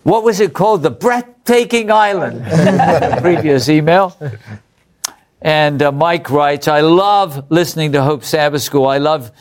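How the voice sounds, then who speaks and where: Upbeat English man hosting, slightly high. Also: unhurried. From England. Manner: upbeat, chuckles between remarks. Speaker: host. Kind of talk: reading viewer letters on a Bible study show.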